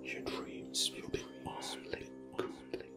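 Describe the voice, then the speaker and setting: A middle-aged man playing a calm male shaman healer for ASMR roleplay, whispering slow, soothing reassurances and repeating his phrases. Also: natural voice. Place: small indoor studio with dark backdrop. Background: soft ethnic music.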